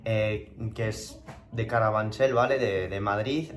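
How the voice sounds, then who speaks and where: A man's voice: on the low side, steady tone, unhurried, faint music behind. A man in his thirties who grew up in France, speaking Spanish with a French accent as a keto diet coach on a live stream, casual and rambling with many fillers and restarts. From southern France, Spanish parents.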